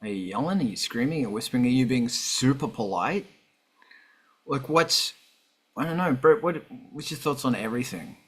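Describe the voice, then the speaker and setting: A man co-hosting a casual chat, asking a string of animated questions. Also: mid-range voice, moderate pace, husky.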